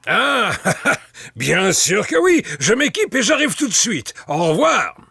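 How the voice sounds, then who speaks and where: A man's voice: sits high, wildly swooping pitch, slow pace, gravelly, sharp tone, breathy edge, no echo, studio quality, full character voice. A man voicing a hearty, eager old grandpa pig in a preschool cartoon, jovial and confident.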